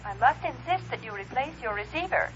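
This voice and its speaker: Casting: officious switchboard woman, quick and piercing, formal, telephone-filtered, on a muffled old radio recording. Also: a little high, animated, fairly bright tone, a little hiss or hum. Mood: stern, insistent. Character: officious, unyielding female telephone service operator. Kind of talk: 1950s radio drama acting.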